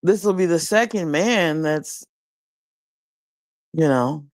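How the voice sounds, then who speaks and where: A woman hosting a late-night livestream, talking bluntly and profanely, musing slowly with many restarts while high. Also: darkish tone, dry recording, studio quality. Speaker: livestream host. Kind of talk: late-night casual commentary. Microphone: streaming mic.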